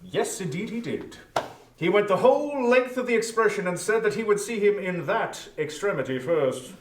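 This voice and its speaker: A man's voice: sits high, lively intonation, normal speed, a little hiss or hum. A man narrating a story in a scripted, dramatic style, recorded at some distance in a roomy space.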